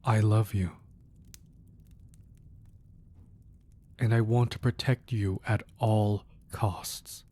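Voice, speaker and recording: Man acting a low, breathy crime boss husband. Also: fairly even intonation. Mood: tender, pleading. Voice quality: soft, breathy, hushed voice. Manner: slow, deliberate, tender murmuring with pauses. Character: protective, romantic crime boss husband, tender yet menacing. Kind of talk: intimate ASMR roleplay acting.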